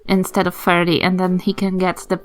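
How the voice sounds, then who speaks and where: A woman's voice: lowish voice, monotone, fairly quick, soft background music, pitched below the performer's own voice, natural voice. A woman voicing a polite, chatty old granny adventurer in a tabletop game, with genteel, wordy courtesy. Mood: politely coaxing.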